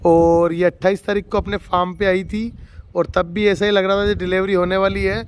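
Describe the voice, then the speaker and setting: A man's voice: high-pitched, little pitch movement, a little fast, a little hiss or hum. A man from Rajasthan, a dairy farmer, shows a cow for sale in a casual spoken walkthrough, heaping on enthusiastic, repetitive praise from off camera in an outdoor farmyard. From Rajasthan, India. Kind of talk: casual spoken livestock showcase.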